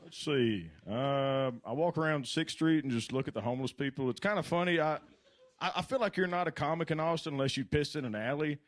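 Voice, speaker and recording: Southern American male comic, slightly raised pitch, unhurried folksy delivery, self-deprecating stage banter. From Kentucky, USA. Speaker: amateur comic being interviewed on stage. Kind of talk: casual self-deprecating comedy chat. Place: live comedy show stage.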